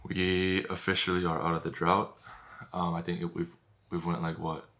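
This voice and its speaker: A man's voice: low-pitched, completely flat delivery, normal speed, pushed and bright. A twenty-year-old man talking casually to camera in a vlog, laid-back, swearing freely and calling people bro. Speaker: vlogger and business owner.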